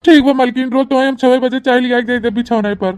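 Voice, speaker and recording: A woman's voice: high voice, fairly even intonation, fast talker, a little mellow, smooth, dry recording, lightly acted. A woman voicing a henpecked young newlywed husband in a comedy cartoon, whiny and exasperated, with an Awadhi accent. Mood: reluctant. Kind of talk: exaggerated cartoon comedy dialogue.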